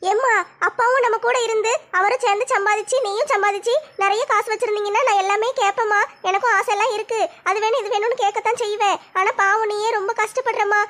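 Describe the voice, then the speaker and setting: A gentle teenage girl, voiced by a woman, pleads softly. Her voice is very high and slightly brighter than the performer's usual one. Her delivery is modest and coaxing. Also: fairly even intonation, fast talker. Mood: pleading. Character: teenage daughter, modest and loving.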